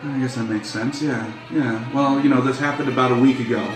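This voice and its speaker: A man's voice: mid-range voice, fairly even intonation, brisk, roomy sound, music in the background, close to an everyday voice, poor audio. A man voicing a big muscular handyman in a tabletop game, folksy, hesitant and rambling. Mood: chatty, easygoing. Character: tall muscular suspicious male handyman doing repairs.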